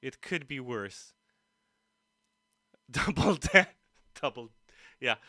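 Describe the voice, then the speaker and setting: A man's voice: mid-range voice, expressive, extremely slow, bright, forceful tone, no room sound, studio quality. A man hosting a casual guitar livestream, rambling to viewers and poking fun at himself. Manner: self-deprecating, rambling asides to viewers and himself. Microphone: streaming mic, poorly placed. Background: music playing.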